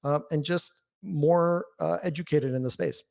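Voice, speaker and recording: A man's voice: a little high, measured pace, vocal fry, a little mellow. A man speaking as a podcast guest, explaining things candidly and emphatically in casual interview talk. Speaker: podcast guest, newsletter writer.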